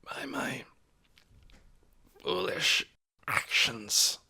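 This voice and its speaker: A narrator putting on a frail, wounded old man whose raspy voice sinks to a whisper. He pushes each broken phrase out slowly, with long gaps between them, as if every word costs him strength. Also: high-pitched, lively intonation, a bit bright, pitched above the performer's own voice, heavily acted. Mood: weak. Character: weak, injured old man, rasping.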